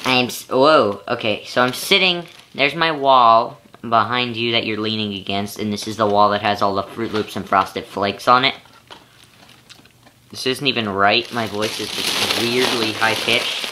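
A man's voice: fairly low pitch, fairly even intonation, unhurried. A teenage boy vlogging from his bedroom to a webcam, chatty and self-amused, thinking aloud as he goes. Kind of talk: casual rambling vlog. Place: small bedroom, indoors. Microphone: webcam at arm's length. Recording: noisy home webcam recording.

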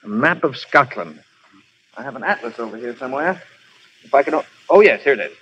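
A calm, wry gentleman hero, played by an actor, his voice rising and falling with lively expression. It comes through a muffled old radio broadcast with a narrow, dull sound. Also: mid-range voice, fairly quick, a little hiss or hum, close to an everyday voice. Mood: wry, soothing. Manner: easygoing and wry. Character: calm, wry gentleman caught up in a spy plot. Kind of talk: 1930s radio drama acting.

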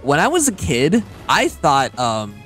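A man's voice: a little high, wildly swooping pitch, a little slow, a bit bright, faint music behind, heavily acted. A man voicing an easygoing, teasing young blue hedgehog in casual gaming banter. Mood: sheepish, rambling. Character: easygoing, joking movie Sonic, young male blue hedgehog.

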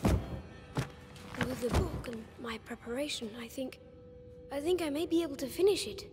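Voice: high-pitched, a little slow. A performer voicing a studious, earnest teenage boy alchemist in a dramatic video game, hesitant and thoughtful. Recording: game soundtrack music behind.

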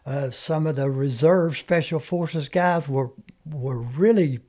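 Brief: older man, native Comanche speaker, halting plain-spoken storyteller, studio mic. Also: on the high side, warm tone. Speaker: guest, veteran. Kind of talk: reminiscing interview talk. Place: small podcast studio. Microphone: studio mic on stand, headphones.

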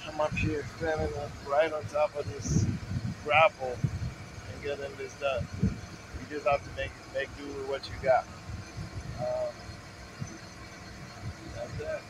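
A man in his forties, a mobile welder, casually talking to the camera in a laid-back, unhurried way while working outdoors at a job site, recorded on a phone. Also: mid-range voice, little pitch movement, noisy background. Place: outdoors on a work site, beside a truck. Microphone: handheld phone camera and wireless earpiece.

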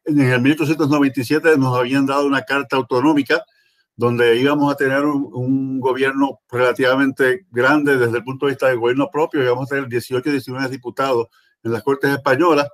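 A Puerto Rican man in his sixties talks over a laptop webcam from a small room. He is earnest and halting, filling his pauses with little sounds, at a plain middle pitch. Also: fairly even intonation, fairly bright tone. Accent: Puerto Rican Spanish. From Puerto Rico. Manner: earnest, with many pauses and fillers. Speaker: guest caller. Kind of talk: online video call talk. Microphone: laptop webcam on video call.

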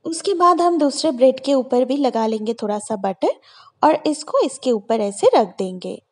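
High, lively woman cooking host. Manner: friendly, instructive, repeats steps clearly. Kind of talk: step-by-step recipe voice-over.

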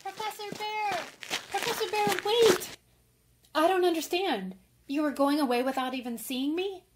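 A woman's voice: very high voice, moderate pace, heavy background noise. A woman playing an earnest, impulsive young woman writer in an old-time radio drama. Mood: urgent, eager.

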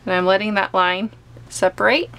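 Gentle woman teaching painting, gravelly edge. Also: medium pitch, steady tone. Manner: gentle, unhurried explaining while painting. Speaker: art teacher. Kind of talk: step-by-step painting tutorial. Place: home studio, overhead camera on painting table.

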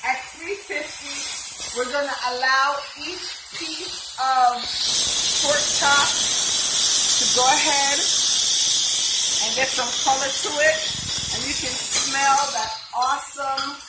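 A woman's voice: sits high, steady tone, slow. A middle-aged woman hosting a home cooking demonstration in her kitchen, chatty and narrating each step as she goes. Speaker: home cooking show host. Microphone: camera across the kitchen. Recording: echoey kitchen, far from camera, loud hiss. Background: sizzling skillet.

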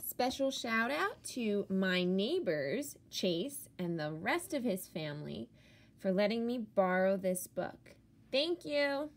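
A woman who is a teacher, reading a picture book aloud to children with expressive, theatrical emphasis on key words. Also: medium pitch, slow, a little hiss or hum.

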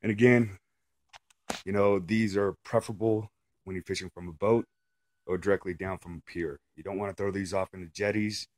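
Deep-voiced plainspoken man, dry sound. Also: fairly even intonation, moderate pace, darkish tone, no echo. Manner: plainspoken, says "okay" and "right" often. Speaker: fishing vlogger. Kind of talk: casual how-to talk.

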